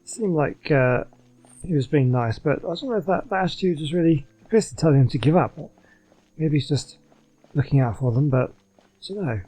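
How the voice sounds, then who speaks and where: A man's voice: on the high side, expressive, moderate pace, mellow, poor audio. A man giving casual, musing commentary while playing a game. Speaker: gameplay streamer.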